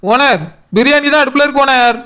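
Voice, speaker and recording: A woman acts out an overworked young cartoon cook with a high, bright voice. She whines and pleads at a rapid pace, with a colloquial Kanyakumari Tamil accent. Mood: explaining, defensive. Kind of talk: comic cartoon dialogue.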